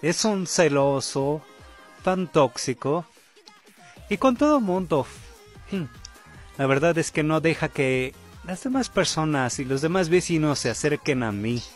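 Scornful, vain older puppet brother played by a man, fandub drama, lively higher-pitched voice, taunting and exasperated. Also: unhurried. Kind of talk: amateur Spanish fandub roleplay acting. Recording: loud music under the voice.

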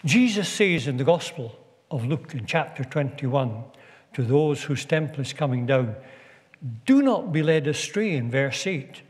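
A man preaching a sermon as a visiting minister, measured and deliberate with long pauses. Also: mid-range voice, lively intonation. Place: stone church. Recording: long church reverb.

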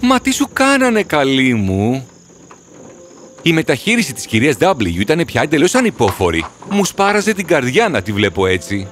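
A man's voice: mid-range voice, wildly swooping pitch, a bit bright, a little hiss or hum. Earnest, sorrowful horse storyteller played by a man. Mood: tender, grieving. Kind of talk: storybook cartoon narration. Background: music.

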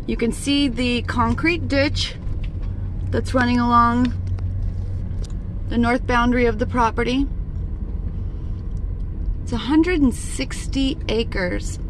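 A woman giving a friendly sales tour of farmland, recorded outdoors and from a car. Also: low-quality recording. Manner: slow, friendly sales pitch. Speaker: real estate agent. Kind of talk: property tour narration. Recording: loud wind noise on a phone mic.

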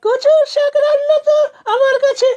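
Cartoon village elder: boastful, theatrical old man with a tiny, piercingly high voice. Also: level tone, normal speed, noisy background. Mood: boastful, teasing. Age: older. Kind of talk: animated cartoon dialogue. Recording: music behind the voice.

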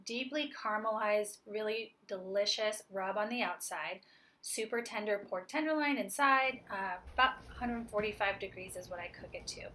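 A woman's voice: mid-range voice, normal speed, pushed and bright, slight background noise, low-quality recording. A woman in her forties, a chef, giving a friendly, upbeat cooking demonstration and explaining each step plainly. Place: home kitchen, indoors.

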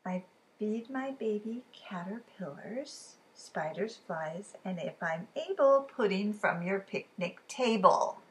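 A woman in her fifties reading riddle poems aloud for a children's storytime, expressive and deliberate, with chatty asides to the listeners. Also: mid-range voice. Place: living room at home, indoors. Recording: clearly noisy room recording.

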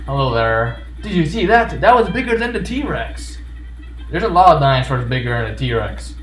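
A man's voice: a little high, big pitch swings, moderate pace. A man giving casual, jokey gameplay commentary, often talking back to the game's characters. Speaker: gaming video host. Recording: roomy echo with game music behind. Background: video game music.

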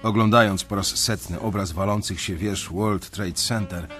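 An actor playing a reflective young banker, narrating his story in measured, literary first person for a radio drama, with a husky voice. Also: low-pitched, fairly even intonation, a bit bright, soft background music.

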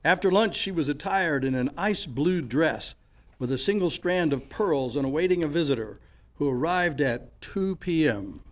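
Casting: actor as a vintage radio pitchman and narrator, male, notably high voice, polished and upbeat. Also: animated, normal speed, a little hiss or hum, close to an everyday voice. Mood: narrating. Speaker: announcer. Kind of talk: 1940s radio announcing. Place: live on a stage.

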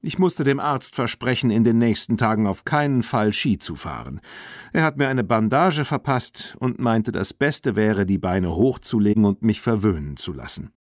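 A man's voice: on the low side, animated, normal speed, no echo, studio quality, close to an everyday voice. A man voicing an easygoing young man in a children's storybook, with a breezy, casual manner. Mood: cheerful, teasing. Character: easygoing grinning young man, a girl's brother.

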